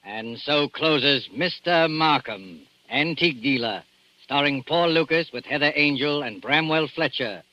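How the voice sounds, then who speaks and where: A man's voice: on the high side, animated, measured pace, noisy background. A man announcing a radio drama in formal 1940s style. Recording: dull old radio, loud music behind.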